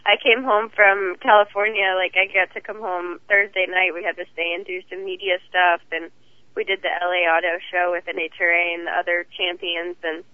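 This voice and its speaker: A hoarse woman with a cold, talking as the guest in a phone interview, chatty and rambling. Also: lowish voice, level tone, normal speed, no echo. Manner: chatty, rambling, laughs easily. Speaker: guest champion driver. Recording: tinny phone line.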